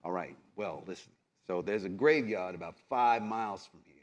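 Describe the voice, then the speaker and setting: A man's voice: low voice, lightly acted. A man playing an evasive, hedging go-between who runs errands for a vampire prince in a live roleplaying game. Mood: cagey. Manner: hedging, sly, halting with many trailing qualifiers. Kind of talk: improvised tabletop roleplay before a live audience.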